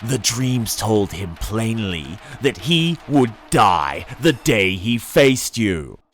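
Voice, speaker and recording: A deep-voiced man narrates dark science fantasy in a theatrical, menacing style. His pacing is slow, with deliberate pauses, and his pitch moves widely as he leans on key words. Music plays beneath the reading. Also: very animated. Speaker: narrator reading an audiobook excerpt. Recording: music under the voice. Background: dramatic background music.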